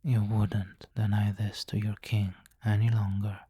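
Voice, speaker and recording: A man voicing a possessive, seductive vampire king in an intimate ASMR roleplay, speaking formally and deliberately and often dropping into a whisper. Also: fairly low pitch, little pitch movement, warm tone, breathy edge, no echo, clean studio sound. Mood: stern, seductive. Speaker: audio roleplay performer.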